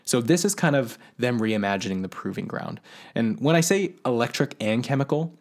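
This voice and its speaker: A man at a mid pitch co-hosts a casual science podcast. He turns technical space news into plain talk and wraps up with friendly plugs to listeners. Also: lively intonation, normal speed, a little mellow. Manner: explains space news in plain terms, then friendly sign-off. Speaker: podcast co-host.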